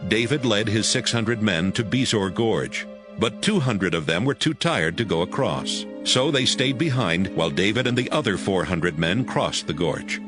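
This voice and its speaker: Low-pitched, very expressive male scripture narrator. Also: moderate pace. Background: music.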